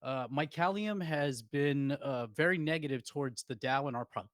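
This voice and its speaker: A man's voice: medium pitch, normal speed, no room sound. Man, hesitant, careful, sighs between words, dry sound, raising a concern on a call. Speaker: community member raising a concern. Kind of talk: casual call discussion.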